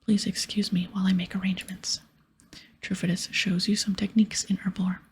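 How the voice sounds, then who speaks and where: A woman hosting an ASMR game playthrough in a breathy whisper, narrating and reading dialogue aloud close to the microphone. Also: medium pitch, level tone, brisk, a little hiss or hum. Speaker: ASMR gaming host.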